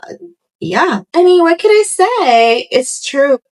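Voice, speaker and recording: A woman, telling stories casually to camera in an animated, conversational way full of asides. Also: very high voice, slow pace, noisy background. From Puerto Rico. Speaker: host of a storytime video channel. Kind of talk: casual chatty storytelling to camera.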